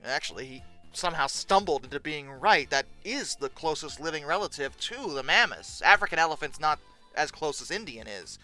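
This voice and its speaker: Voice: mid-range voice, animated, normal speed, pushed and bright, faint music behind, rough recording. A host giving casual comedic commentary in an exasperated, sarcastic and incredulous manner. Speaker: host reacting to old book text and pictures. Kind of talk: casual comedic commentary with read-aloud passages.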